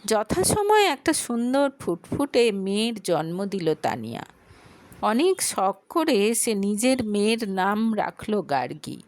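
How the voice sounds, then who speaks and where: A woman narrating a scripted audio story in an expressive, dramatic way. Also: on the high side, no room sound.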